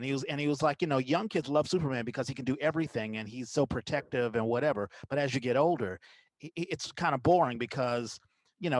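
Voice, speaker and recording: A man's voice: medium pitch, no room sound, low-quality recording. A middle-aged male podcast host with a mid-range voice and a dry, close sound. He talks casually and wanders a little as he works his way toward each question. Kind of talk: casual interview conversation.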